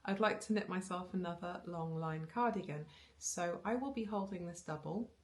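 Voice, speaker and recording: A woman's voice: on the low side, normal speed. A woman in her thirties chatting casually to camera about knitting, rambling with ums, restarts and little laughs, recorded in her living room. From Wales. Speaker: knitting vlogger. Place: living room with bookshelves, indoors. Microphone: camera on a tripod facing her.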